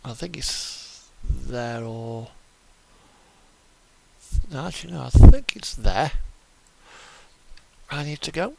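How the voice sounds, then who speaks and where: A man giving casual, unhurried gameplay commentary, thinking aloud as he goes. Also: mid-range voice, lively intonation, gravelly, mellow, a little hiss or hum, poor audio. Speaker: video game player and host.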